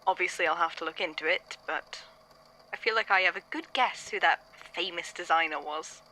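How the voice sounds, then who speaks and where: A woman playing a curious archivist who talks in a measured, musing way in a fan audio drama. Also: on the low side, sharp tone, a little hiss or hum, low-quality recording.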